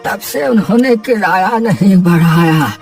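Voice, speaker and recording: Man voicing slow, frail old father. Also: high-pitched, expressive, vocal fry, darkish tone, heavily acted. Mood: grateful. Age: older. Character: sick, frail elderly father, grateful and mild. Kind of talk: melodramatic Hindi cartoon voice acting. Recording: music under the voice.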